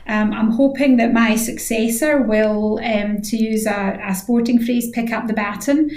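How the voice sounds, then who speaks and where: A woman's voice: on the high side, steady tone, measured pace, a little mellow. Scottish woman in her sixties, echoey room. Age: 60s. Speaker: radio interview guest, head of physiotherapy. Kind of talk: conversational interview answers.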